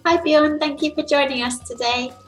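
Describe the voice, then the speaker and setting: Woman interviewer, kinda high voice, friendly and enthusiastic. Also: fairly even intonation, moderate pace, faint music behind. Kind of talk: interview questions.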